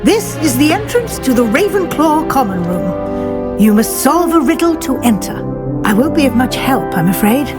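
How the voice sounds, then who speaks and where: An actress playing a kindly, formal British deputy headmistress in a fantasy game, polite and encouraging. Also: female voice, sits high, sing-song, moderate pace, over loud music. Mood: welcoming, formal. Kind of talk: fantasy game character acting.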